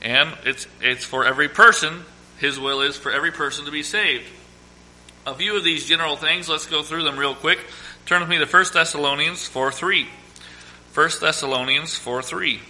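A man preaching a sermon as pastor, hoarse from a cold, reading scripture slowly and with emphasis. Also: on the high side, sharp tone, rough recording. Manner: reads scripture slowly and emphatically, asks congregation to quote verses.

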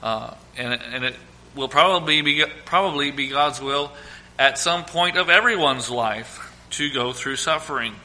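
A man preaching a sermon as pastor, hoarse from a cold, reading scripture slowly and with emphasis. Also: mid-range voice, bright, forceful tone. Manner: reads scripture slowly and emphatically, asks congregation to quote verses.

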